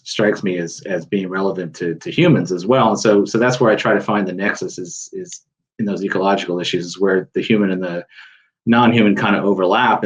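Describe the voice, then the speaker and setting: A man's voice: sits low, rough recording. A man speaking as a guest author on a panel, thoughtful and rambling with many restarts. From United States. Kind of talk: panel discussion.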